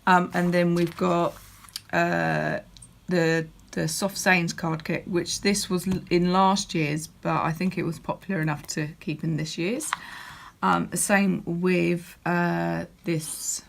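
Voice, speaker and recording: Woman, croaky, gravelly, lowish voice, casual and chatty. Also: steady tone, takes it slowly, a little hiss or hum. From United Kingdom. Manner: chatty, many ums and restarts. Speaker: crafting demonstrator. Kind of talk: casual product walkthrough.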